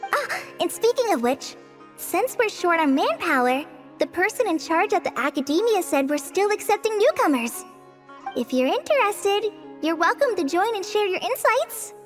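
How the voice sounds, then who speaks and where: Shy polite teen ranger girl, really high light voice, bouncy pitch, anime game dub. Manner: hesitant, self-effacing, polite. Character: modest, earnest teenage girl forest ranger. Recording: music playing behind the voice.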